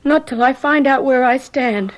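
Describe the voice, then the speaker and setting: An actress playing a hurt, stubborn college-age daughter, subdued yet defiant, in a radio drama. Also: female voice, sits high, normal speed, lightly acted. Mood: defiant, despairing. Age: young adult.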